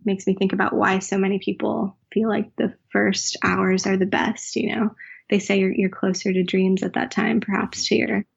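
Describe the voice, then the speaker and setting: A woman's voice: medium pitch, flat delivery, moderate pace, creaky, slightly rough, lo-fi sound. A woman, a writer and professor, talking reflectively in an interview, often correcting herself mid-sentence. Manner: thoughtful, self-correcting, with soft laughs.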